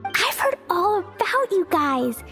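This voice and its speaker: A woman's voice: extremely high pitch, sing-song, slow, a bit bright, faint music behind, full character voice. A woman voicing a sweet, innocent, bubbly little girl with an earnest childlike manner in a video game. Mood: cheerful.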